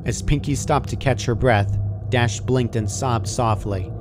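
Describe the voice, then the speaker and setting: A man's voice: medium pitch, measured pace. A man reading a scripted story aloud as its narrator. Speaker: fan fiction narrator. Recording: clearly noisy home recording.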